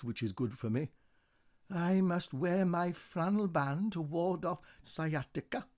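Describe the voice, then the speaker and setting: A man's voice: mid-range voice, darkish tone, close to an everyday voice. A man voicing meek, henpecked ghostly husbands who recite dutifully by rote in a radio play. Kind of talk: radio play verse drama.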